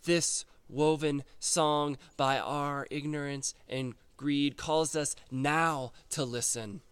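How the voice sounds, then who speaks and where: Male host, slow meditative delivery, smooth clear voice, reflective reading. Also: a little high, steady tone, a bit bright, no echo. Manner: slow, contemplative, with long pauses. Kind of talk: reflective spoken musing and poem reading.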